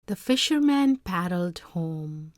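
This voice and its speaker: Animated, unhurried woman storyteller. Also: fairly low pitch, no room sound, clean studio sound. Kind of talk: expressive scripted read-aloud narration.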